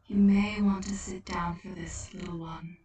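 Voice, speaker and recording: A woman voicing Death as a gentle, sorrowful, ageless spirit who speaks softly and soothingly in a story reading. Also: fairly low pitch, steady tone, heavy reverb, distant mic, slight background noise, lightly acted. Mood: gentle, grave. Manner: soft, slow, soothing.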